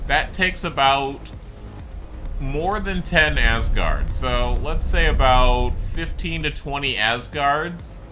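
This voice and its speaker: Guy doing chill game commentary, really slow talker, rambles a lot, music going in the background. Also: medium pitch, lively intonation. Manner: rambling, trailing off into asides. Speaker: gameplay commentator. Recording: music behind the voice.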